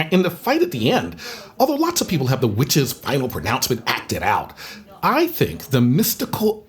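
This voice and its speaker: A woman playing a grand, superstitious circus thespian in an audio drama, speaking in a declamatory, precise, dramatic manner. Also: low-pitched. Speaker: voice actor. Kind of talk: theatrical audio drama acting.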